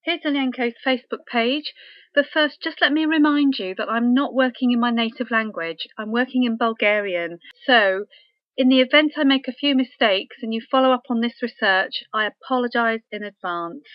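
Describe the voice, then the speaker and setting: Woman, screen commentary, wry, chatty, fairly high voice, dry close mic. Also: moderate pace, dry recording, dull audio. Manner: chatty, wry, with filler ums. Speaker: researcher presenting a screen tour. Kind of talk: casual screen-recorded commentary.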